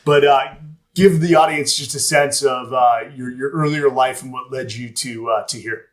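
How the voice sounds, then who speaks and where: A man's voice: mid-range voice, moderate pace. A man hosting a podcast interview in a laid-back, casual way, dropping words like "dude" and "man". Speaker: podcast host.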